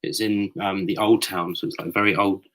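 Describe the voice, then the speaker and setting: A man with a deep voice and a bright, forward tone talks as a podcast guest. He sounds slightly croaky and explains his work in a loose, chatty way full of fillers. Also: fairly even intonation, fairly quick. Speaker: guest photographer. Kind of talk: casual conversational explanation. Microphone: voip call.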